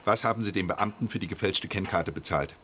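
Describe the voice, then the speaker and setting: A stern, pedantic government official played by a man in a radio play, speaking in a lowish voice. He is formal and self-righteous, and there is clear noise behind him. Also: a little fast, natural voice. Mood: stern, demanding. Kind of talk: German radio drama acting.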